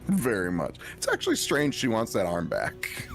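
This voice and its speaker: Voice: medium pitch, huge pitch swings, moderate pace, gravelly. A tabletop game player chatting casually and teasing the others at the table. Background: faint music.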